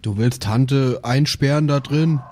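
A man's voice: mid-range voice, measured pace, a little mellow. A man playing in character in an improvised tabletop role-playing game.

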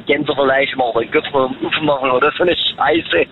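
A man's voice: medium pitch, steady tone, fairly quick, over a noisy crowd. A man from Germany calling a radio show from his car while driving, chatting in an easygoing, rambling way. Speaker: caller. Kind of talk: call-in chat. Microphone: phone. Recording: phone line with road noise.

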